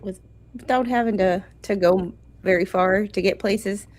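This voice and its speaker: A woman in her forties, talking casually and at length. She laughs easily and pokes fun at herself. Her pitch sits in a middle range. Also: unhurried, darkish tone. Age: 40s. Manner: chatty, laughs often, self-deprecating. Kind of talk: casual chat.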